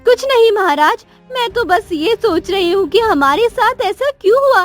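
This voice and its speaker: A woman voicing a cruel, haughty young queen in a cartoon story, talking in a sharp, scolding way. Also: very high-pitched, animated, normal speed, soft background music, pitched above the performer's own voice. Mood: pleading.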